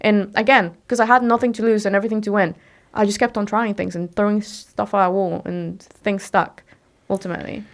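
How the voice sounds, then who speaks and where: A woman's voice: mid-range voice, fairly even intonation, fairly quick. Young woman from Mexico, Spanish as first language, podcast guest, blunt and emphatic, mid-range voice. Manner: direct, emphatic, rhetorical questions. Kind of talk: casual interview talk.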